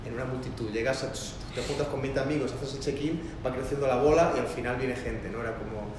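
A man's voice: mid-range voice, steady tone, fast. A man teaching a workshop in a loose, rambling lecture style, with a mixed Spanish and Argentine-sounding accent and many restarts. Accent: mixed, part Argentine-sounding Spanish. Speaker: workshop instructor. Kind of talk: classroom lecture. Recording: very echoey room with noise.

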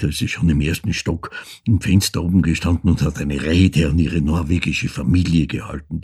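An actor playing an older son who recalls his troubled mother in an intimate, halting, emotive radio drama monologue with a gravelly, husky voice. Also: rumbling low, darkish tone, heavily acted. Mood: haunted, urgent. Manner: halting, emotive, drops into whispers.

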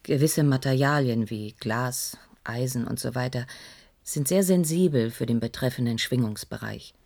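An actress playing a weary, shaken researcher in a German radio play, hesitant and earnest, with a breathy, husky voice. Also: female voice, extremely low pitch, moderate pace, a little mellow. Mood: subdued, explanatory. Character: weary, shaken female researcher recounting a disaster.

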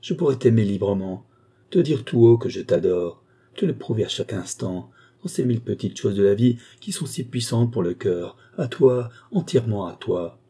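A man's voice: fairly low pitch, animated, normal speed, dark tone, slightly rough. A man voicing a passionate, anguished adulterous wife in a storybook, pleading in a desperate, melodramatic way. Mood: ecstatic pleading. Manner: melodramatic, imploring.

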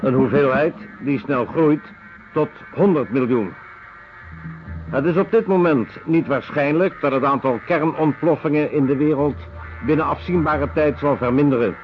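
An actor playing a sober reader who delivers grim facts formally and deliberately in a radio production. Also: male voice, fairly high pitch, natural voice. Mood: sober, factual. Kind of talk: formal scripted documentary-like reading. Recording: dull radio recording with music underneath.

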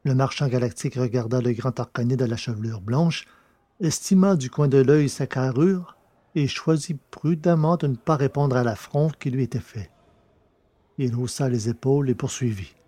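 A man's voice: mid-range voice, normal speed, warm tone, no room sound. A man reading scripted science-fiction story narration.

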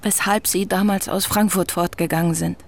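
A young woman writer presses her questions politely but keeps at them. She speaks in a measured, courteous radio-play manner. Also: lowish voice, steady tone, gravelly. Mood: earnest. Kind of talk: radio drama acting.

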